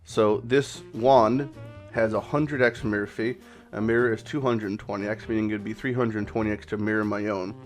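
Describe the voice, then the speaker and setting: A man with a slightly low voice, made raspy and hoarse by a cold, talks through his game in a loose, rambling way, dropping the odd swear word and correcting himself mid-sentence. A little background music plays quietly under him. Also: fairly even intonation, moderate pace. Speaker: gaming channel host. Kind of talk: casual game commentary vlog.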